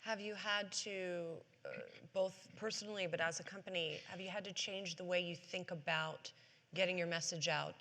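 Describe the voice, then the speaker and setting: A woman business journalist asking measured, probing questions as the interviewer in an onstage conference talk. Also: lowish voice, sharp tone. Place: conference stage before an audience. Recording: long hall reverb on stage.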